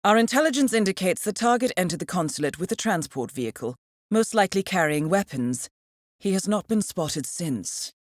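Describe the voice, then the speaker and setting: Woman acting as female mission handler, lowish voice, coolly formal, measured, lively intonation, dry close sound, video game briefing. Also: no room sound, close to an everyday voice. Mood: cool, efficient. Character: composed female intelligence handler briefing an assassin.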